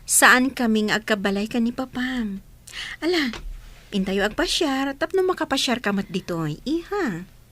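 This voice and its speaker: An actress playing a kind, coy, motherly woman being courted in an Ilocano radio drama, gentle and teasing in manner. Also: a little high, lively intonation, measured pace. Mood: motherly, affectionate.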